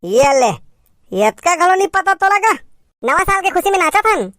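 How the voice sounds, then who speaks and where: A woman's voice: extremely high pitch, sing-song, normal speed, a bit bright, no echo, studio quality, exaggerated voice. A woman voicing an impatient, boisterous young villager in a cartoon comedy, with a brash manner and a Chhattisgarhi accent.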